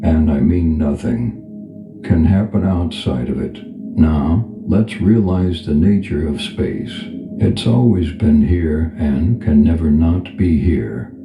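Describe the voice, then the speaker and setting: A man leading a guided meditation as a calm spiritual teacher, speaking in a gentle, unhurried, instructional manner. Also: very deep voice. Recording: echoey reverb over background music. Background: music.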